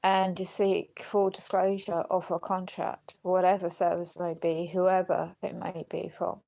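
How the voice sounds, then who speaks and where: A low-pitched woman talks slowly on a personal livestream, searching for words as she goes. Her voice is gravelly and starting to give out. She sounds earnest and questioning, with an indignant edge. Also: level tone, dark tone. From United Kingdom. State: voice going, unwell. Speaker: live streamer. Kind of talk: rambling personal livestream talk.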